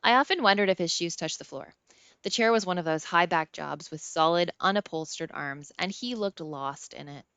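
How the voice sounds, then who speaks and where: A woman's voice: fairly low pitch, a bit bright, clean tone, no room sound. A woman reading scripted first-person fiction as an audiobook narrator. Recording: slightly dull, dry close recording.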